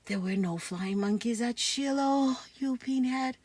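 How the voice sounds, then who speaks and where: A woman's voice: fairly high pitch, unhurried, a little mellow, slightly rough, no room sound. A woman playing an exasperated, sensible schoolboy in a comic-strip read-aloud, blunt and incredulous.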